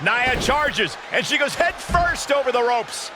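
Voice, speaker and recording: A man giving loud, excited play-by-play wrestling commentary for a video game, projecting his voice. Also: very high voice, unhurried. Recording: noisy arena crowd behind voice.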